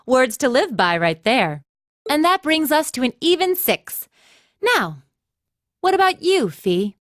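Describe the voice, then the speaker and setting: A woman's voice: high voice, sing-song, slow, long pauses, fairly bright tone, no echo, studio quality, heavily acted. An actress playing a breezy, teasing young female academy instructor in an anime game dub, playful and casual in manner.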